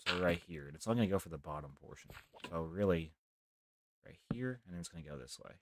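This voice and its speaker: Young man streaming a game, very deep voice, soft rambling talk, noisy mic. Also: lively intonation, fast. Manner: rambling, talks softly, near whispering. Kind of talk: casual live gameplay commentary.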